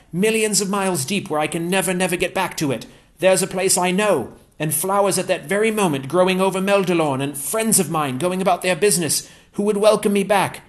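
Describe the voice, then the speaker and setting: A man voicing an eager, cheerful scholar with a warm, lively, enthusiastic manner. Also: a little high, pitched above the performer's own voice, lightly acted. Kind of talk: audiobook character voice.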